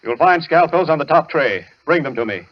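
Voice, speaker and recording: An actor playing a deranged asylum inmate who poses as a surgeon, imperious and menacing, with grandiose formal diction in an old radio thriller. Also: male voice, fairly high pitch, lively intonation, a little fast, very noisy, close to an everyday voice. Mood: frantic command. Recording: muffled old radio broadcast, music behind.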